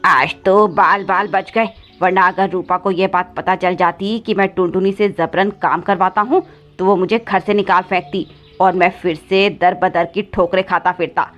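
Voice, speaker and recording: A woman's voice: fairly low pitch, fairly even intonation, normal speed, a bit bright, lightly acted. Lady playing mean crow stepdad, sly scheming cartoon villain, slightly muffled audio, music under it. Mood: gloating scheming. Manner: scolding, sly. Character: cruel, scheming, wheedling male crow stepfather. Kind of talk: cartoon moral story voice acting.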